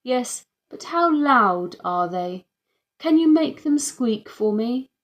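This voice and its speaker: A woman's voice: on the high side, animated, takes it slowly, pitched above the performer's own voice. A woman voicing an officious, insistent woman council inspector in a children's audiobook. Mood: brisk, inquiring. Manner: bossy, insistent.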